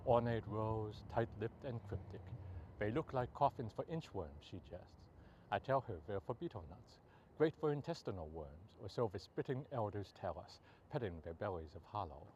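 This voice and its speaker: A man reading poetry aloud as a poet, in measured, deliberate short phrases. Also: lowish voice, expressive, darkish tone, dull audio, slight background noise. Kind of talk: poetry reading, recited free verse.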